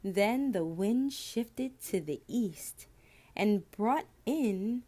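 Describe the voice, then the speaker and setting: Female storybook reader for children's tales: unhurried, very varied intonation, expressive and deliberate. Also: medium pitch. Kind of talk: children's picture book read-aloud.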